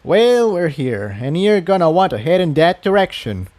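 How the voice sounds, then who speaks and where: A man's voice: fairly high pitch, very animated, moderate pace, darkish tone, no echo, pitched above the performer's own voice. A man voicing an elderly, plainspoken rustic pony with folksy country phrasing in a fantasy story. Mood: placating, friendly.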